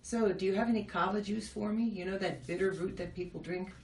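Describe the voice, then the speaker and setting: A woman's voice: medium pitch, fairly even intonation, fairly quick. A woman hosting a casual interview, asking curious, chatty questions. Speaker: host. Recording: echoey, from a distance, faint noise.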